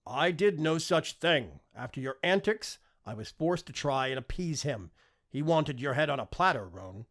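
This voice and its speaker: A man's voice: fairly high pitch, lively intonation, moderate pace, fairly bright tone, voice pushed up. A man voicing a stern, battle-scarred king and disapproving father in an audiobook, formal and controlled. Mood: restrained anger. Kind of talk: audiobook character acting.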